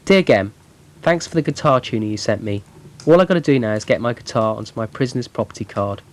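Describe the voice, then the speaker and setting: A man reading personal letters aloud in a brisk, conversational way. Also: mid-range voice.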